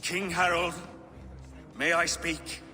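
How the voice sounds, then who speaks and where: An actor playing a dignified Viking king, giving a solemn, deliberate formal speech in a game cutscene. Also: a little high, fairly even intonation, bright, forceful tone, heavily acted. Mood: solemn, proud. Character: dignified Viking king pleading his people's case. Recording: reverberant hall with music underneath.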